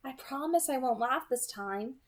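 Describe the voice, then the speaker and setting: A woman's voice: sits high, lively intonation, unhurried, pitched above the performer's own voice. A woman voicing a teasing, guarded young supernatural woman in a novel read-aloud, with a casual, explaining manner. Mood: gentle.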